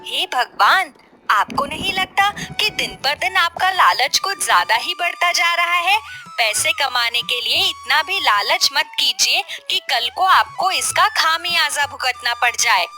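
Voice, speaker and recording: A woman acts a worried wife in a cartoon story, high and animated. She scolds and pleads with her husband, reproachful, over soft music. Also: unhurried, full character voice. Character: worried, scolding wife of a greedy food vendor. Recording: music bed behind the voice.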